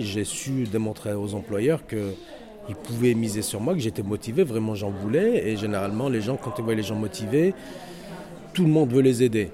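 A man with a North African accent, head of a security training center, giving an earnest, motivational interview full of hesitations and restarts. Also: fairly low pitch. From Algeria. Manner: hesitant, many fillers and restarts. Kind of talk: interview testimony. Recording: noisy crowd around the speaker. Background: crowd chatter.

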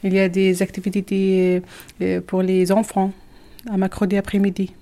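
A young woman from Algeria whose first language is not French, answering interview questions slowly in simple, halting phrases with many hesitations. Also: mid-range voice, completely flat delivery, warm tone.

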